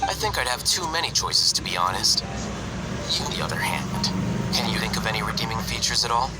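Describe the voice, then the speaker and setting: A man's voice: on the low side, animated, fairly quick, lightly acted, rough recording. A male actor playing an aloof young scholar in a video game, coolly sarcastic, with a dry, unruffled and condescending delivery. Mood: dry mockery. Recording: loud background music under the voice.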